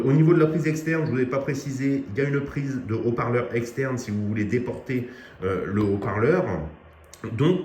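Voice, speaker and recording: A man's voice: mid-range voice, darkish tone. A man casually demonstrating a CB radio to viewers, chatty and full of fillers. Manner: chatty, many fillers, addresses viewers directly. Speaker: radio equipment reviewer. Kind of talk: casual product demo. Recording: echoey room, faint noise.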